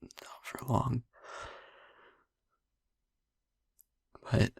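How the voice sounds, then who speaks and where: A man playing a traumatised young vampire boyfriend in an intimate audio roleplay, speaking in a breathy hush with halting pauses. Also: dry recording, studio quality, natural voice. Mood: subdued, haunted. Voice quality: breathy, whispery. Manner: halting, hushed, pausing mid-sentence.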